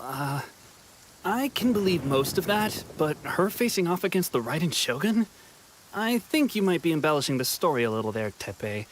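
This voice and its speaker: A man's voice: on the high side, close to an everyday voice. A man voicing a friendly, encouraging wounded soldier in a video game, good-natured and a little hesitant. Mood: amused, doubtful. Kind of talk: English video game voice acting.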